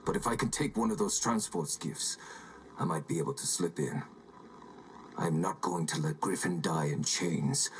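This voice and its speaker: A grim elite soldier speaks in a deep, solemn voice. He is determined and measured, as in a game audio log. There is light ambience behind him. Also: a little hiss or hum. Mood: solemn resolve. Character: grim, resolute armored super-soldier sending a broadcast.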